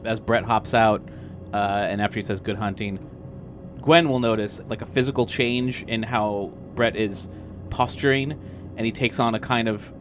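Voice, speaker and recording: A man's voice: lowish voice, moderate pace. A man playing a tabletop roleplaying game, improvising in casual chat. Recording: faint music behind voice. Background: faint music.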